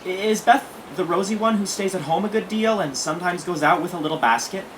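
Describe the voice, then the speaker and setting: A man's voice: high-pitched, fast, fairly bright tone, a little hiss or hum, poor audio. A man playing a lonely, polite and slightly hesitant teenage boy in an audio play. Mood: shy, wistful. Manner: hesitant, courteous.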